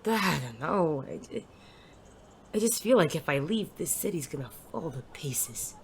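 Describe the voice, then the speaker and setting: A performer playing a world-weary, self-doubting male private eye and war veteran in an audio drama, gruff, hesitant and prone to swearing. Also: medium pitch, big pitch swings, unhurried. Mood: troubled, despairing. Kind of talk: intimate audio drama dialogue. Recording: clearly noisy background ambience.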